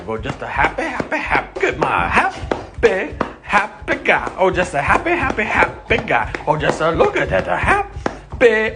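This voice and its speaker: A man's voice: high-pitched, background noise. Man cooing at a dog. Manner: cooing baby talk to a dog.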